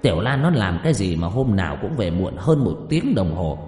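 A man's voice: deep voice, big pitch swings, a little fast, a little mellow. A man acting a menacing, commanding rich landlord. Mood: stern. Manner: commanding, interrogating, condescending. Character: wealthy, menacing landlord patriarch, stern and quick-tempered. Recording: echoey with long reverb, music behind.

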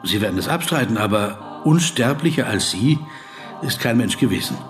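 Actor as courteous, persistent interviewer, faint music. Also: male voice, medium pitch, expressive, natural voice. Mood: insistent. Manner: deliberate, probing, polite. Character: persistent, courteous male interviewer, flattering, observant, narrating into dictaphone. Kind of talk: radio play acting with literary asides.

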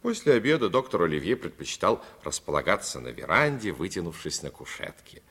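A man narrating a 1950s radio drama as a storyteller, phrasing expressively and deliberately with dramatic pauses. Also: low voice, big pitch swings, fairly bright tone, husky, exaggerated voice. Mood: wry. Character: male storyteller fond of the coast and its people. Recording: 1950s radio recording, faint music behind.

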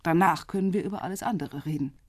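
An actress playing a cool, businesslike police intelligence officer in a radio drama, composed and teasing, with a husky voice. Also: female voice, lowish voice, fast, no room sound, studio quality, lightly acted. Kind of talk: naturalistic radio drama dialogue.

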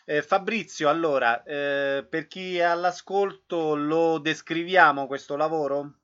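Polite radio host guy, slightly high voice, measured, chatting with guests. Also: fairly bright tone, lo-fi sound. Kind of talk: conversational radio interview.

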